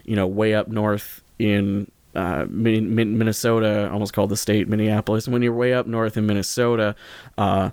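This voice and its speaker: A man's voice: fairly low pitch, steady tone, normal speed, a little mellow, no echo. A man talking casually as a podcast host, rambling and correcting himself in an informal, jokey way. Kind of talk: casual solo podcast talk, recommending.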